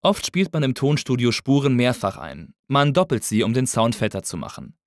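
Guy narrating a tutorial, measured explainer, lively pitch movement, very dry close mic. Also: no echo. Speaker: instructor.

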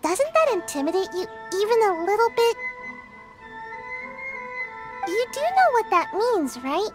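A woman's voice: very high voice, very animated, normal speed, over music. A woman voicing a small, sleepy young girl ninja in a video game, reluctant and evasive, with a drowsy, hesitant manner. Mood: matter-of-fact. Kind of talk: video game character dialogue.

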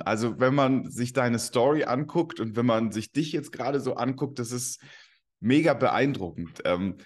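A man in his thirties hosting a podcast over a video call, upbeat and enthusiastic as he introduces a guest and asks questions. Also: medium pitch. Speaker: podcast host. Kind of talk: scripted intro, then interview questions. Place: room with large windows, indoors.

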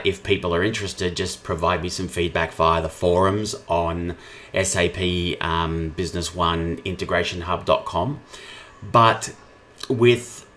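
A man with a low-pitched voice presents a software tutorial. He explains each step informally, as a trainer talking through a screen share.

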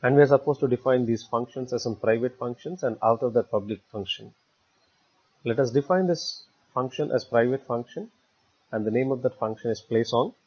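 A man narrating a step-by-step screencast tutorial as an instructor, phrasing haltingly in short, repetitive chunks. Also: medium pitch. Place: voice-over for a screen recording. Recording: dull, lo-fi voice recording.

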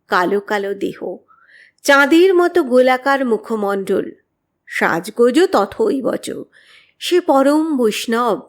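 A woman reads a horror story as a narrator, deliberately and expressively, with dramatic pauses. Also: fairly high pitch. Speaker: audiobook narrator.

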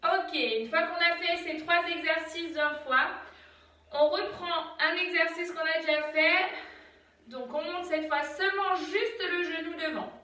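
A young woman leading a home workout, calmly counting reps and cueing breaths as she exercises in an attic room, recorded on a phone set far back. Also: very high-pitched, heavy reverb. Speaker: fitness instructor. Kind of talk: guided home workout instruction. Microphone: phone camera from a distance.

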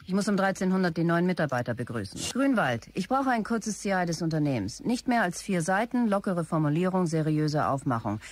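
A woman playing a brisk, businesslike start-up executive dictating messages in a German audio drama. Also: fairly low pitch, expressive, slight background noise, lightly acted. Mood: brisk, commanding.

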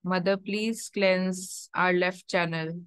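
A woman leading a guided meditation, giving gentle instructions and prayers in measured short phrases with long pauses. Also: lowish voice, fairly even intonation, smooth, no room sound.

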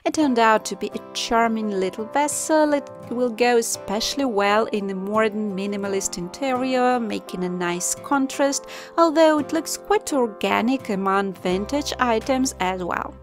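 A woman calmly narrating a step-by-step craft tutorial as the channel host, in voice-over. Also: on the high side, normal speed. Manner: calm, instructive. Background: soft background music.

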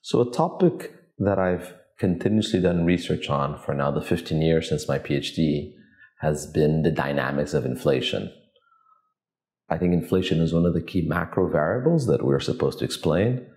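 A man in his forties from Portugal, an economics professor giving measured, academic interview answers in an office. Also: rumbling low, lively intonation, darkish tone, echoey room. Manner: measured, academic, thinks aloud with restarts. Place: office with glass walls, indoors.

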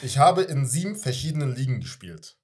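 A young man talks in a husky voice with lively swings of pitch. He is casual and chatty, hosting and playing a guessing game into a studio microphone. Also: a little fast. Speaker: quiz show host and contestant. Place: small indoor podcast studio. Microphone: studio microphone on desk arm.

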